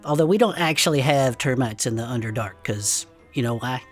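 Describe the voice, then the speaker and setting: Gruff wisecracking sidekick, deep for a lady, super animated, slangy and skeptical, comedy audio fiction. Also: fairly quick, faint music behind. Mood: deadpan, folksy. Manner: blunt, folksy slangy talk, incredulous interjections. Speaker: podcast co-host.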